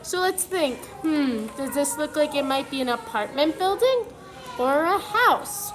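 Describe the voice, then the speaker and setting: A woman preschool teacher reading a picture book aloud to young children, pausing to ask them questions in an instructive way. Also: high voice, animated, over music.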